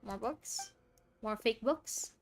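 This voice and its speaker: A woman's voice: a little high, slow pace, creaky, loud music behind the voice. A woman streaming a game, casually musing aloud and reacting to the dialogue.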